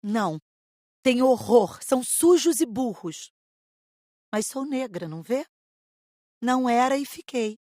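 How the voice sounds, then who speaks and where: Woman playing a higher-pitched, confused spirit woman. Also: expressive, unhurried, long pauses, darkish tone, no room sound, clean studio sound. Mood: disgusted, distressed. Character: confused, vain, troubled spirit woman, torn between two lives. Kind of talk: dramatized audiobook reading.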